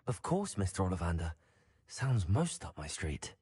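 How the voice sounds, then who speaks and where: An actor playing a polite, inquisitive young student wizard in a fantasy video game. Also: male voice, low voice, very animated, normal speed, a little mellow, husky, exaggerated voice. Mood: polite, curious. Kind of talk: video game character acting.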